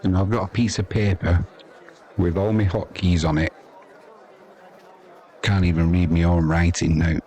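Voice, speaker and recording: A man's voice: sits low, flat delivery, measured pace, long pauses, warm tone, some chatter behind. A man with an English accent giving casual, rambling, chatty live cricket commentary as the host of a sports stream.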